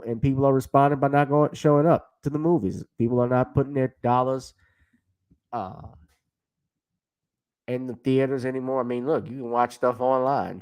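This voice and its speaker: A man's voice: medium pitch, warm tone, no room sound. A middle-aged man hosting a casual livestream chat, talking in a conversational, opinionated way. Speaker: talk show host.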